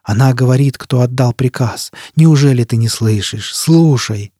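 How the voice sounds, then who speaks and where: A man voicing a nightmarish burning apparition in an audiobook, rasping out slow, anguished, imperious exclamations. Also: medium pitch, mellow, dry recording, studio quality, lightly acted. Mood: manic, insistent. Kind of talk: audiobook character acting.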